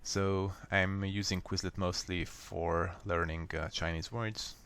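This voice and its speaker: A man with a Hungarian accent, a native Hungarian speaker, calmly walking viewers step by step through a software fix in a screen recording. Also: sits low, smooth. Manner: unhurried, plain, step-by-step explaining. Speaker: software tutorial maker. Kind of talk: screen-recorded how-to walkthrough.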